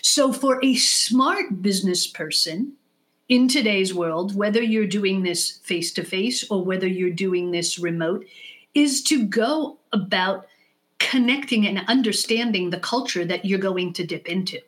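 A woman giving explanatory advice as an expert podcast guest, speaking deliberately and emphatically, often in lists. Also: medium pitch. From born in the United States, raised in Israel from age six.

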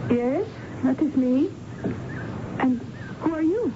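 Flustered widow, high voice, vague, polite, radio drama, dull noisy recording. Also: female voice, expressive, slow. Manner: flustered, vague, formal foreign phrasing. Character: flustered, forgetful Mexican widow, evasively polite.

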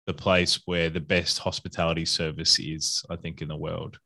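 A man's voice: low-pitched, level tone, moderate pace, vocal fry, bright, forceful tone. A man with an Australian accent, a podcast guest talking casually and upbeat, with lots of fillers and restarts. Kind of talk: casual conversational interview.

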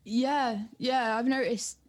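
A woman's voice: a little high, fairly even intonation, slow, no echo. A young woman, chatting casually and colloquially as an interview guest. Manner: informal, colloquial. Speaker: music producer and DJ guest. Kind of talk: casual chat.

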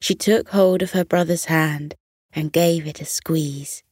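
A woman tells a children's bedtime story at a very slow pace. Her voice sits slightly low, recorded close and dry. The delivery is gentle and expressive. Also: slightly rough, no room sound.

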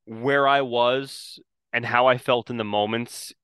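A man's voice: medium pitch, steady tone, unhurried, fairly bright tone, smooth, dry recording. A man co-hosting a sports podcast, talking earnestly with frequent restarts. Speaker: podcast co-host. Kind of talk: casual sports podcast chat.

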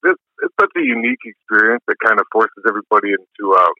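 A man with a low voice, giving easygoing, rambling interview answers full of fillers. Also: wildly swooping pitch, quick pace, gravelly, no echo. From New York. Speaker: musician guest. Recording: dull lo-fi dry sound.

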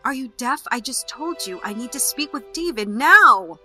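A woman's voice: high-pitched, animated, normal speed, sharp tone, faint music behind, full character voice. An actress playing a young married woman who is loyal but desperate, speaking emotionally and sharply. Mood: upset. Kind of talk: audio drama acting.

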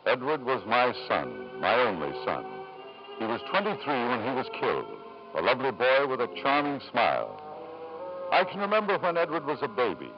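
A man's voice: medium pitch, huge pitch swings, normal speed, over music. Ambitious English father, dull old radio sound. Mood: grave, reflective. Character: self-assured, ambitious English businessman and doting father. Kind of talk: 1950s radio drama acting. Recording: dull, noisy 1950s radio recording.

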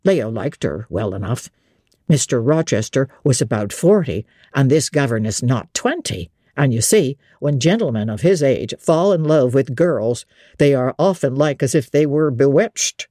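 An older country innkeeper, as an audiobook reader puts him on, leans in to share gossip in rustic, confiding speech. His voice is dark and husky, recorded dry and close. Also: mid-range voice, animated, moderate pace, no room sound, lightly acted. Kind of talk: audiobook character reading.

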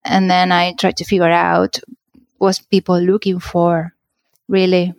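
Spanish-accented woman, low dry voice. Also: monotone, unhurried, vocal fry, dry recording. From Spain. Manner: hesitant, searching for words, restarts. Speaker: guest, shop owner. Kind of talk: casual interview answers.

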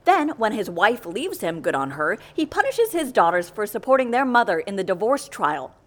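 An indignant woman in an audio comedy, her pitch swinging freely. She speaks in emphatic, ornate sentences full of theatrical scorn. She sounds exasperated and very sure of herself. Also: sing-song, moderate pace, fairly bright tone. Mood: scornful, indignant. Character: exasperated, indignant woman at a death-faking agency, theatrically eloquent. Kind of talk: audio comedy fiction acting.

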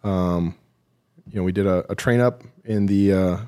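A man being interviewed as a military veteran, telling his story haltingly with self-deprecating, dry humour. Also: low voice, mellow, smooth. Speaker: podcast guest, military veteran.

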